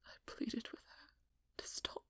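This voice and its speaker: A woman playing a haunted, determined vampire hunter in an ASMR audio role-play, confessing slowly and haltingly in a breathy, husky whisper, with pain in her delivery. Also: fairly bright tone, no echo, lightly acted. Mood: anguished, tearful dread. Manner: slow, halting, pained confession in short broken phrases.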